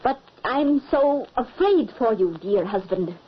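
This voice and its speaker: A woman's voice: a little high, expressive, measured pace. A woman playing a sly, cold stepmother who feigns sweetness, speaking with arch formality in old radio horror. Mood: sly menace. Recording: muffled, noisy old radio recording.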